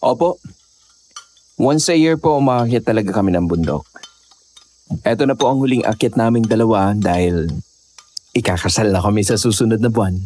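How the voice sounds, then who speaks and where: A man's voice: lowish voice, moderate pace, long pauses, darkish tone, slight background noise, natural voice. A man playing a polite, mildly skeptical young climber in horror audio drama dialogue. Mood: polite, curious.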